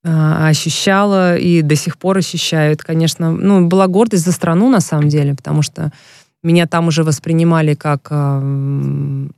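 A woman's voice: sits low, steady tone, normal speed, gravelly, darkish tone, no echo. Woman, interview guest, frank, reflective, long stories, dry close sound. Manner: frank and reflective, storytelling at length. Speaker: interview guest, former pro basketball player. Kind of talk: casual interview talk.

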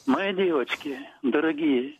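A man's voice: on the high side, unhurried, slightly rough. A man in his eighties calling in to a talk show, speaking formally and politely. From Russia. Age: 80s. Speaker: caller. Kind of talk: call-in comment. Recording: dull lo-fi phone line, dry.

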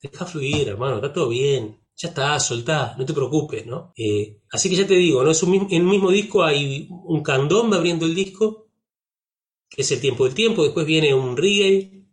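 A man's voice: a little high, lively intonation, normal speed. Uruguayan man talking reflectively. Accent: Rioplatense Spanish. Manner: reflective, uses many fillers and tag questions. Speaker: musician, interview guest. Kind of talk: relaxed conversational interview.

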